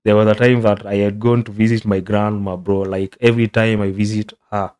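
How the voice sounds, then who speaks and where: A man's voice: lowish voice, brisk. A young man from Kenya with a Kenyan accent, chatting casually as a content creator in English, which is not his first language, and dropping "bro" again and again. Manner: relaxed, says "bro" a lot, rambling. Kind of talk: casual reaction commentary.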